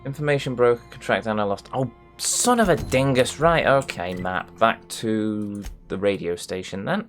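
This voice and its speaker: A man's voice: on the low side, lively intonation, moderate pace, hardly any pauses, soft background music. A man giving casual let's play commentary, reading game text aloud and muttering playfully to himself.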